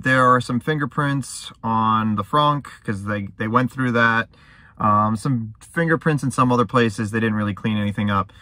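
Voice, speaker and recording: Young male vlogger, conversational and rambling, bright-edged voice, dry sound from inside a car. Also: medium pitch, no echo. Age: young adult. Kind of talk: casual talk to camera.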